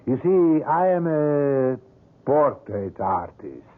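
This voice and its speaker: A creepy, overly polite sculptor. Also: male voice, on the high side, big pitch swings. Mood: persuasive. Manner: halting, formal speech with many hesitations. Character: sinister, overly polite male wax sculptor. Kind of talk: 1970s radio drama acting. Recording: tinny lo-fi old radio broadcast.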